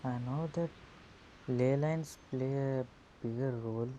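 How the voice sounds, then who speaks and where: A gamer giving casual, halting, musing gameplay commentary in a murky, muffled voice through an earphone mic. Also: mid-range voice, warm tone, a little hiss or hum. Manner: halting, musing, many hums. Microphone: phone earphone mic.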